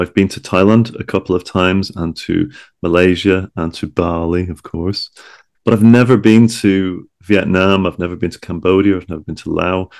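A man's voice: low-pitched, darkish tone. A man hosting a podcast in a chatty, wordy, conversational interview style, often repeating his words. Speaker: podcast host.

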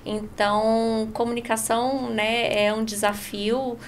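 A woman's voice: on the high side, little pitch movement, slow pace. A middle-aged Brazilian woman giving conversational, explanatory answers as a business owner in a podcast interview, with frequent tag questions. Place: small padded podcast studio. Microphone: studio mic on boom arm.

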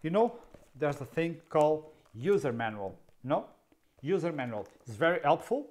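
A man in his fifties with a Portuguese accent, a native Portuguese speaker, explaining camera gear in a dry, sarcastic way, seated in a dark studio. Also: fairly high pitch, very animated, normal speed. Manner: wry, sarcastic, asks himself questions. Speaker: camera video host. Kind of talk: casual tech explainer.

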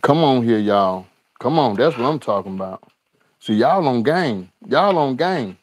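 A man in his home kitchen hosts a live cooking stream, talking at an unhurried, drawn-out pace. He speaks to the viewers as y'all and says his lines again and again. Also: medium pitch, animated. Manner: drawling, talks to viewers as y'all, repeats lines. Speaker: cooking show host. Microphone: overhead camera on the skillet, speaker unseen.

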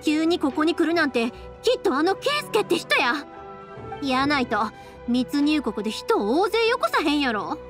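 A woman's voice: high voice, very animated, moderate pace, a bit bright, soft background music. A woman voicing a spirited, caring young woman in an anime game, earnest and colloquial with a Kansai accent. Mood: alarmed, worried. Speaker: voice actor in a game.

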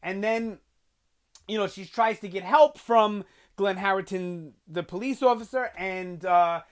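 Young New guy hosting a TV review channel, animated and loose, lots of "um" and "you know", webcam sound. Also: high-pitched, measured pace. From New York. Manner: animated, gesturing a lot, chatty with fillers. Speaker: TV review host. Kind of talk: casual video review. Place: small bedroom with collectibles on shelves.

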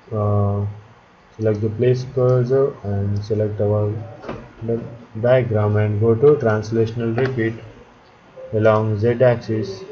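A man narrating a step-by-step software tutorial as an instructor, speaking in short, halting instructional fragments. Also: lowish voice. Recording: dull lo-fi audio, background chatter, clicking.

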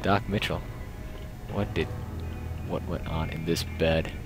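A man's voice: very deep voice, steady tone, slow pace, over music. A young man casually narrating a game, rambling and joking as he plays. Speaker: let's play host. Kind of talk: casual gameplay commentary.

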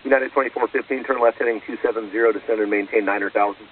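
A man's voice: lowish voice, steady tone, very fast. A male air traffic controller giving procedural, clipped instructions over aviation radio. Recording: muffled, phone-like air traffic radio, distant.

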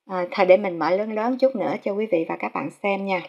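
A woman's voice: mid-range voice, brisk, vocal fry, highs cut off. A Vietnamese woman vlogging casually, speaking politely to viewers with many fillers as she tours a house listing and shows a map. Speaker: real estate vlogger. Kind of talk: casual narrated house tour.

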